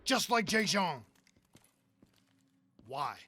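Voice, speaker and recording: A fairly high male voice a determined soldier in a military game drama. He presses with urgency and earnest intensity. Noticeable noise runs behind the voice. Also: sing-song, extremely slow, heavily acted. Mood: accusing. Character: determined male soldier, searching, confrontational comrade. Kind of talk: dramatic video game acting. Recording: clearly audible background noise.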